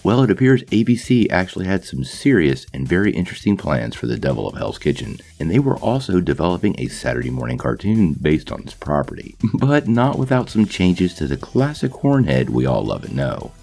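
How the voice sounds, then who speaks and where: A man with a low, gravelly, slightly husky voice narrates a scripted video with eager, playful energy. His pitch swings widely as he tells the story. Faint music sits beneath his voice. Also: very animated, fairly quick, hardly any pauses, a little mellow. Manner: enthusiastic storyteller with playful asides. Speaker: host of a comic nostalgia channel.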